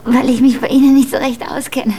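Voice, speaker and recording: A woman's voice: sits high, level tone, brisk, warm tone, a little hiss or hum, lightly acted. An actress in a German radio drama playing a frank, melancholy young woman who confides hesitantly. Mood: probing.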